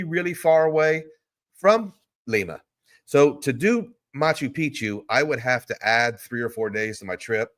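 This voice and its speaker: A man's voice: mid-range voice, fairly bright tone. A man hosting a live stream, chatting loosely and answering viewer questions in a rambling, easygoing way. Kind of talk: casual live stream chat. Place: hotel room.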